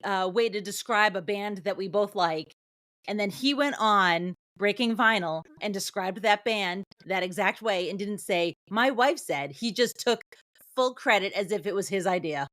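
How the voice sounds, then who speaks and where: A woman's voice: medium pitch, normal speed, a bit bright, no room sound. A woman co-hosting a podcast, chatting casually and recapping films. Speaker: podcast co-host. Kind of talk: casual film review chat with plot recap.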